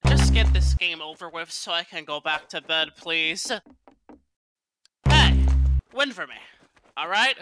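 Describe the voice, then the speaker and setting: High-pitched, sardonic male game announcer. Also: wildly swooping pitch, measured pace, long pauses, loud music behind the voice. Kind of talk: scripted voice-pack quips.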